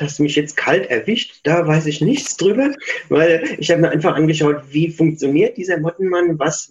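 A man from Germany, an author, answering interview questions over the phone in a relaxed, chatty way with many fillers. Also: medium pitch. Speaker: book author. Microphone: phone line. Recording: muffled phone-call sound.

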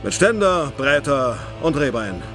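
Man, podcast announcer, medium pitch, slow delivery, guitar music behind. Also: very animated. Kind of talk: jingle-like show announcement.

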